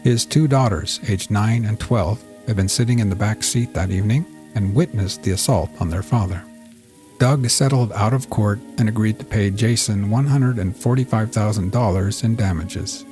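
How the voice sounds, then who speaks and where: A man narrating a scripted story as a fiction podcast host, with a measured storytelling delivery. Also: fairly low pitch, mellow, soft background music.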